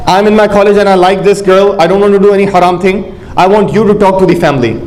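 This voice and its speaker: A man's voice: high-pitched, fairly even intonation, quick pace, a little mellow, close to an everyday voice. A man voicing his grown son, who politely and eagerly pleads with his father. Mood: earnest. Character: young adult son earnestly asking his father.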